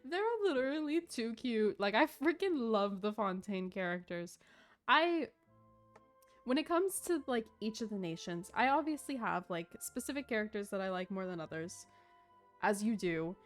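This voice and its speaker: A woman's voice: medium pitch, animated, normal speed, faint music behind. A woman giving casual live stream commentary on a game, chatting in a gushing, giggly, informal way.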